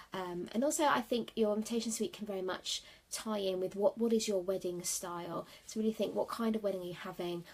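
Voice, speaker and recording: A woman's voice: mid-range voice, a little mellow, breathy edge, low-quality recording. A woman with a sniffly cold, giving chatty, rambling wedding-planning tips on a livestream from her office at night. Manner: chatty, rambling, many fillers.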